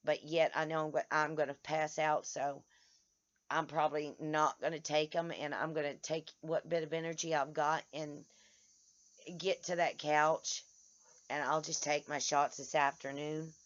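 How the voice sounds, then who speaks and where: Hoarse woman, vlogger, tired, halting delivery full of restarts; muffled home-video sound. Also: low voice, level tone. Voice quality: halting, many restarts and stammers. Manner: tired, speaks in short broken phrases. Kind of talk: casual vlog to followers. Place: outdoors in the sun.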